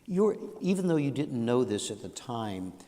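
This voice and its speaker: A man hosting an interview, gently prompting his guest with questions and frequent soft acknowledgments. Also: medium pitch, sing-song, moderate pace. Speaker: interviewer host. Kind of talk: guided interview. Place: museum auditorium. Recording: long hall reverb.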